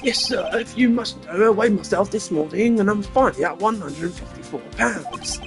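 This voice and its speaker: A performer voicing an eager, flustered female security guard in a video game, excitable, apologetic and deferential. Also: mid-range voice, normal speed, gravelly, music in the background, pitched above the performer's own voice. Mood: earnest.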